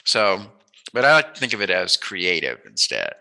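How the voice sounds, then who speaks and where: A man hosting a casual, joking interview, rambling and teasing and quick to laugh. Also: low voice, big pitch swings, sharp tone. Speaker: interviewer, host.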